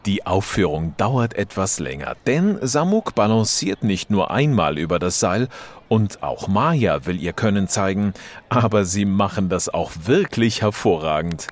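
A man with a fairly low voice narrates a children's tale. His delivery is theatrical and highly animated, exclaiming as though he were watching it all happen. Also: measured pace. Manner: theatrical, exclaiming, involved in the action. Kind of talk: expressive children's story narration.

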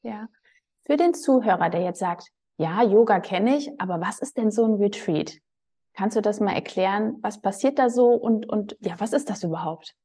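A woman's voice: mid-range voice, expressive, moderate pace, dark tone, breathy edge. A woman hosting a podcast, interviewing her guest in an enthusiastic, chatty way. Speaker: podcast host. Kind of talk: casual interview.

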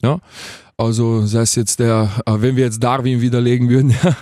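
A young man talks casually as an interview guest. His voice is mellow and relaxed, with a soft, dark edge. The sound is dry, as in a studio. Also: mid-range voice, fairly quick, no room sound. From Vienna, Austria. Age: 20s. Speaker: guest rapper being interviewed. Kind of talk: casual radio interview chat.

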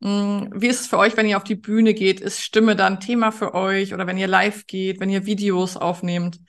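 A woman's voice: mid-range voice, little pitch movement, moderate pace. A woman hosting a podcast interview in a chatty, conversational way, speaking directly to her guest and listeners.

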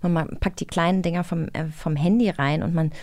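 Woman, creaky voice, dry close sound, casual podcast interviewer. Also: on the low side, fairly even intonation, fairly quick, a little mellow, no room sound. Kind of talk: casual chat.

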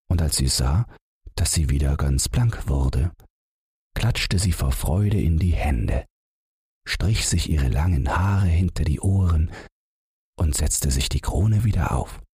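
A man narrating a bedtime fairy tale audiobook in a gentle, expressive storytelling manner. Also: very deep voice, slightly rough, no echo, clean studio sound.

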